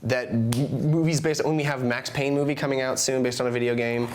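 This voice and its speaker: A man co-hosting a podcast, asking questions and pushing back in a measured way. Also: medium pitch, fairly even intonation, slight background noise. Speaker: co-host. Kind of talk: casual debate on a podcast.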